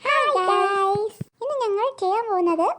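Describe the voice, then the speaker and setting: A performer voicing an excitable, whiny and playful little girl in a comedy cartoon. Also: very high voice, moderate pace, a little hiss or hum. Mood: playful, chirpy.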